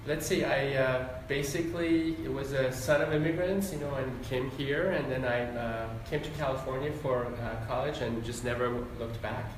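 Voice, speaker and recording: A man born in Iran and raised in Ohio, with a Midwestern accent, giving a relaxed, rambling interview answer full of fillers. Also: medium pitch, normal speed, few pauses, noisy background. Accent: Midwestern American. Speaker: interview guest, doctor and psychologist. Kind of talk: casual conversational interview. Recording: very echoey room, mic at a distance.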